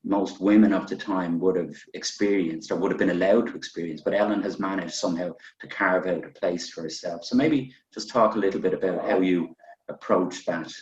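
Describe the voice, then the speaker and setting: Male panel moderator, low register, informal meandering delivery, dull and roomy sound. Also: a little fast, darkish tone. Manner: loose, meandering phrasing. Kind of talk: informal literary discussion, posing questions. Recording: echoey, muffled, distant from mic.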